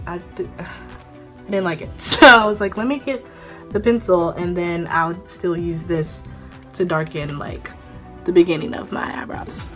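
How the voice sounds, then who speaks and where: A young woman filming herself close up on a phone in a small room, talking through a makeup routine. Her voice is bright and clear at a medium pitch, a little stuffed up and short of breath from a cold. She chats loosely and rambles, with plenty of fillers. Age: young adult. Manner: chatty, rambling, lots of fillers. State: congested from a cold, a bit out of breath. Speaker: beauty vlogger. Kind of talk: casual makeup tutorial. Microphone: phone camera, close up.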